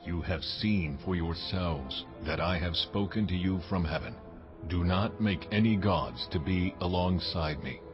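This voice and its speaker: A man playing God in a dramatized audio Bible, a solemn, commanding deity making slow, measured pronouncements. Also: sits low. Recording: dull lo-fi audio under loud music.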